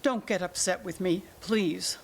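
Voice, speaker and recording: A woman's voice: lowish voice, unhurried, vocal fry, slightly rough, full character voice. A woman in a staged play reading, playing a middle-aged mother of grown children who is defensive, evasive and pleading. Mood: self-justifying. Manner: pleading, self-justifying.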